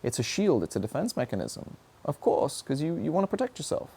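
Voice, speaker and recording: Earnest man with a gravelly, mellow voice. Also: on the high side, big pitch swings, fairly quick. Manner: earnest, searching, self-questioning. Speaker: speaker sharing personal reflections. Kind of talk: reflective motivational monologue.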